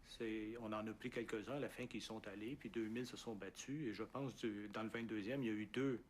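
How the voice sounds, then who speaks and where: Homme factuel, bruit de fond léger. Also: male voice, on the low side, steady tone, fairly quick, a little hiss or hum. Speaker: interviewee. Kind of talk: conversational explanation.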